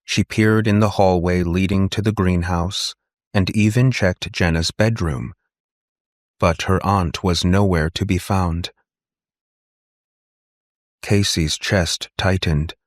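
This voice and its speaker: Man, low-pitched, slow, deliberate, dramatic audiobook narration, dry studio-like sound. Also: fairly even intonation, no room sound. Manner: measured, deliberate. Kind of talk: scripted dramatic story narration.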